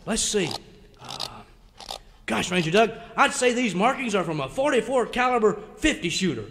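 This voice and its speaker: A man's voice: high-pitched, very animated, moderate pace, fairly bright tone, big-room sound, exaggerated voice. A man playing a naive cowboy in a comic radio western, folksy, hesitant and wide-eyed. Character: naive, gee-whiz high-voiced young cowboy.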